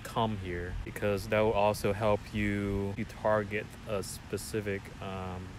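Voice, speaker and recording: A man's voice: lowish voice, level tone, measured pace, slight background noise. A young man with a Vietnamese accent, a graduate student, answers interview questions thoughtfully and often repeats words while he thinks.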